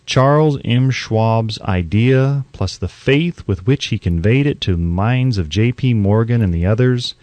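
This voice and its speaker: A man reading an audiobook in a measured, articulate storytelling delivery, close on the microphone. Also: mid-range voice, a little mellow, no echo. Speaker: audiobook narrator. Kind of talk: scripted audiobook narration.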